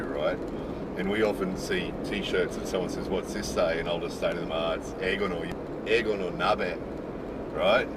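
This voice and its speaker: A middle-aged man, chatting casually to camera in a rambling way with lots of "you know". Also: on the low side. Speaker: vlogger living in Japan. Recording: outdoor street noise.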